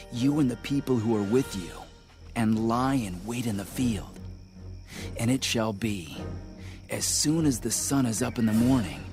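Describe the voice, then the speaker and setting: An actor playing a grave, scheming city ruler in a dramatized audio Bible, speaking in a measured, deliberate way. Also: male voice, fairly low pitch. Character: grave, scheming male city ruler sending secret counsel.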